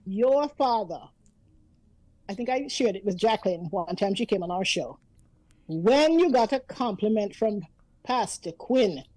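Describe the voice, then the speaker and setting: A woman's voice: on the high side, dark tone, breathy edge, poor audio. A woman, a radio guest telling stories about growing up in a chatty, storytelling manner. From Antigua. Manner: chatty, storytelling, often laughing. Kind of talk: casual reminiscing conversation.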